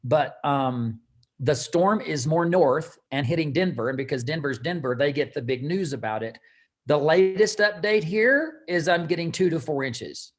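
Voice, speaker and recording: Male read-along host, voice a little higher than average, informal and halting, circling through explanations. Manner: rambling, halting explanations with repeated words. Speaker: host and co-author leading a book read-along. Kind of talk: casual live book discussion.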